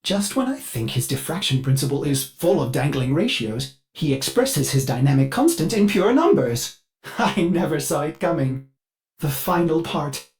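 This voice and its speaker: A man's voice: a little high, expressive, normal speed, a little mellow. A man voicing a fussy, eager ship artificial intelligence in a video game, polite and theatrical, with a manic edge under the courtesy. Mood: delighted, fascinated. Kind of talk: scripted video game character dialogue.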